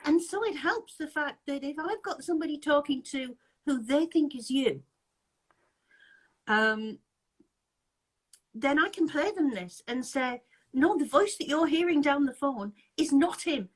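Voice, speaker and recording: A woman's voice: sits high, animated, measured pace, rough recording. A woman from England hosting a casual live-chat interview over a video call, chatty, laughing often and restarting her sentences. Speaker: interviewer, anti-scam group host.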